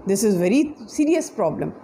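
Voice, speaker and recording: A woman's voice: mid-range voice, sing-song, normal speed, mellow, a little hiss or hum. A young woman with a Pakistani accent, a native Punjabi speaker, giving an earnest, emphatic motivational talk to camera as an English teacher, seated indoors. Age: young adult. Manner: earnest, emphatic, lots of hand gestures. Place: indoor room, seated on a sofa.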